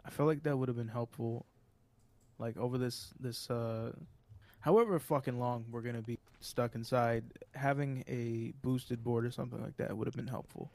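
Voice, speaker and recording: Wisecracking young man, mid-pitched and dry. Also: normal speed, darkish tone, no echo. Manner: wisecracking, teasing interjections. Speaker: podcast co-host. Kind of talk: casual chat.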